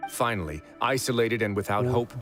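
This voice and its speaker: A man voicing a calm, stern prison overseer in a video game, speaking in a measured, articulate way over music. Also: mid-range voice, natural voice. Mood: grave, measured. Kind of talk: scripted game dialogue.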